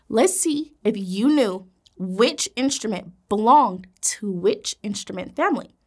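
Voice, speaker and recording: Lively, encouraging woman teacher. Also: on the high side. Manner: animated, encouraging, gestures while speaking. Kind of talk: kids' educational video presenting. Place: indoor classroom studio set.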